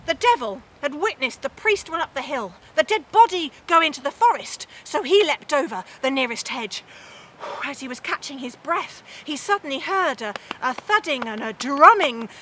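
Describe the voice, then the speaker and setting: Woman, expressive oral storyteller, bright high voice, dramatic pauses, faint background ambience. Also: slight background noise. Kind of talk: theatrical oral storytelling of a humorous medieval tale.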